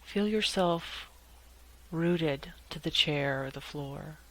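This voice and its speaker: A woman leading a guided meditation in a calm, measured way, leaving long pauses between short phrases. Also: sits low, rough recording.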